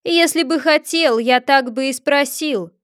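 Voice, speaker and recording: A woman's voice: high-pitched, fairly bright tone, no echo, studio quality. A woman voicing a pompous, touchy male egg figure who lectures and takes offense easily. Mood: triumphant, scolding. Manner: haughty, lecturing, quick to take offense.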